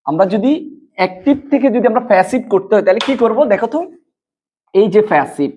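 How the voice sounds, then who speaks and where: A woman's voice: mid-range voice, animated, fast talker, dark tone. A woman teaching students a grammar lesson, explaining step by step with repetition and questions she answers herself. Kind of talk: board lesson addressed to students.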